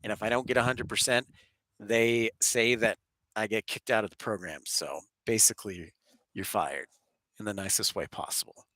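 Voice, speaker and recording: Bright-voiced man with a head cold. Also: fairly low pitch, moderate pace, no echo, rough recording. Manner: chatty, welcoming viewers. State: congested with a head cold, sore throat. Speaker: livestream host, aviation spotter. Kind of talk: casual live stream commentary and chat. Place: live at an airport.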